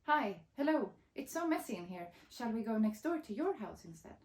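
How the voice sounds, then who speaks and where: A woman's voice: fairly high pitch, big pitch swings, moderate pace, darkish tone, roomy sound. A woman voicing a carefree, self-centred, easily flustered mole in a picture book, blurting and interrupting. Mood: nervous, flustered.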